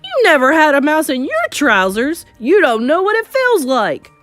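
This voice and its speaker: A woman voicing a frantic, indignant male kitchen cook who yells in panic, in a storybook reading. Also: high voice, wildly swooping pitch, moderate pace, dry recording, soft background music, voice pushed up, exaggerated voice. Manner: yelling, exclamatory. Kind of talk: acted audiobook storytelling.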